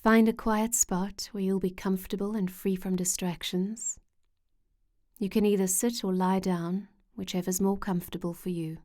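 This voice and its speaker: A woman leading a scripted guided meditation in a gentle, soothing way with measured pauses. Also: mid-range voice, flat delivery. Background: soft meditation music with singing-bowl tones.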